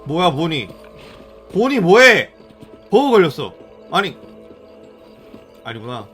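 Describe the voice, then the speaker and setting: A man's voice: fairly high pitch, very animated, slow, a bit bright, soft background music. Chatty young man streaming a game. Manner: chatty, musing aloud, talking to viewers. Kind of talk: casual live-stream commentary.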